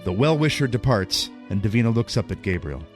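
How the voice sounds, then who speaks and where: A man's voice: fairly low pitch, expressive, a little fast, soft background music. A man narrating an audio drama from a script as its storyteller.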